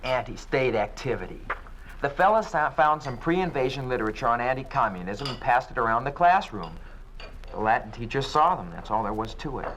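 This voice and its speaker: A young man plays a defiant teenage son, sarcastic and quick to argue, at a middling pitch. Faint hiss of an old broadcast sits behind him. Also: expressive, a bit bright, lightly acted, low-quality recording. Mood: indignant. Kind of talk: 1950s radio drama acting.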